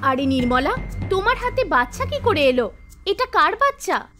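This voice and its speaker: A woman's voice: extremely high pitch, sing-song, moderate pace, sharp tone, heavily acted. A woman voicing earnest, devout young village women in a cartoon folktale. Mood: astonished. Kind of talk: dramatized cartoon storytelling. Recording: background music behind voice.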